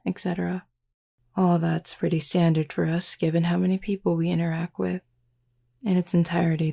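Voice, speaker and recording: Woman performing a flirty werewolf woman, very heavy vocal fry, dark low-key tone, smug and teasing, intimate audio roleplay. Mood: matter-of-fact. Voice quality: husky, gravelly. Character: flirty, teasing, confident adult female werewolf pack member.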